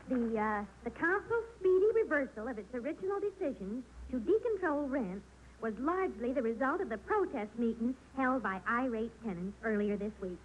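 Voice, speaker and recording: An American actress playing a sensible, long-suffering, wry middle-aged wife in a 1940s radio comedy. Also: female voice, fairly high pitch, expressive, moderate pace, close to an everyday voice. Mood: reasonable. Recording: noisy old radio broadcast recording.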